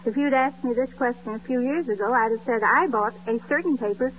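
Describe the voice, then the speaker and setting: Female 1940s radio roundtable guest, fairly high voice, muffled noisy recording. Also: a little fast. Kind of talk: 1940s radio interview answers.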